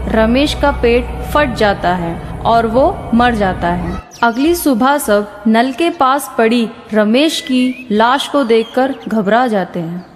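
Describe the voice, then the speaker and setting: A woman's voice: a little high, animated, slow pace, hardly any pauses. A woman narrating a scripted horror story as its storyteller. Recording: long reverb with faint music. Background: faint background music.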